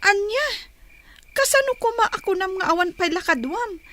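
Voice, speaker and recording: A young Ilocano woman from a Philippine radio drama, timid and devoted to her family. Her voice is very high and bright, with faint noise behind it. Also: expressive, moderate pace. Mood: polite, uneasy. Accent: Filipino, Ilocano. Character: young woman, timid, protective granddaughter, frightened of her male cousin.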